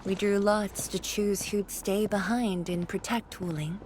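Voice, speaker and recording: An actress playing a solemn woman leader in a video game story, speaking in a measured, grave and wistful way. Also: mid-range voice, steady tone, darkish tone, soft background music, natural voice. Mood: solemn resolve. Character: solemn woman leader returning to her ruined homeland.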